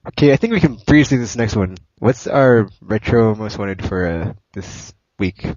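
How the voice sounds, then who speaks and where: Rambling young man with lively pitch. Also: normal speed, no room sound. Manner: rambling, heavy use of "like" and fillers. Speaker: podcast host. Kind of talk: casual gaming podcast chat. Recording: dull lo-fi podcast audio.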